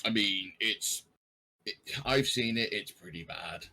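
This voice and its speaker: A man's voice: on the low side, a little slow, creaky, pushed and bright, low-quality recording. A man chatting casually about films as a guest on a podcast. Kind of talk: casual film podcast chat.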